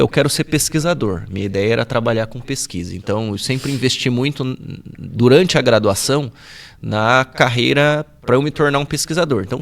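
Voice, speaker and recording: A man in his forties from São Paulo state, a guest talking through his career in a relaxed podcast interview, with frequent tag questions. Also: medium pitch, gravelly. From São Paulo state, Brazil. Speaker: guest, forensic biomedical expert.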